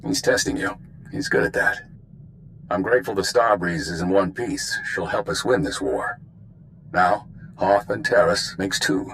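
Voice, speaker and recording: An authoritative male spymaster in a video game. His voice is low and measured, with steady command, over noticeable background noise. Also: vocal fry, full character voice, poor audio.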